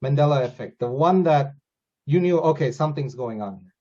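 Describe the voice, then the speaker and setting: A man's voice: fairly high pitch, expressive, fairly quick, warm tone. A man hosting a conversational interview, speaking in an agreeable, slightly hesitant way on a lo-fi recording. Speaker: interviewer and host. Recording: dull, lo-fi voice recording.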